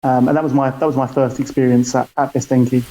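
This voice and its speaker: A man's voice: medium pitch, flat delivery, fast, dark tone, a little hiss or hum, rough recording. A man from the UK with a British accent, chatting as a podcast guest over a call, hesitant and full of fillers and restarts. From United Kingdom. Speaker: podcast guest, football fan. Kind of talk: casual chat over a call. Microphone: call audio.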